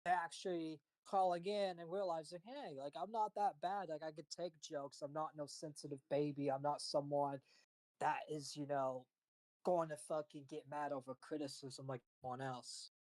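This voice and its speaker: A man's voice: fairly high pitch, steady tone, moderate pace, a little mellow, no echo, rough recording. A man hosting a live stream in casual chat, rambling with frequent swearing and fillers. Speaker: stream host.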